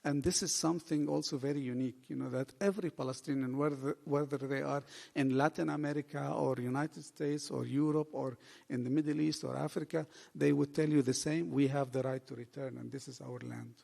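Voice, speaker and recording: A man in his forties, raised in Lebanon, speaking at a panel in an earnest, halting way with many fillers. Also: medium pitch, steady tone, creaky, darkish tone. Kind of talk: panel talk at a book event. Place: bookshop event room. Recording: long hall reverb.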